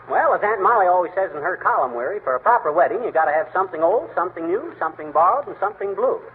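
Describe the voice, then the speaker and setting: A man's voice: high-pitched, lively intonation, racing. A man voicing a brash, folksy know-it-all husband in an old radio comedy. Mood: blustering. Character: blustering middle-aged husband, know-it-all. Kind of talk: 1930s radio comedy acting. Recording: tinny old radio recording with faint hiss.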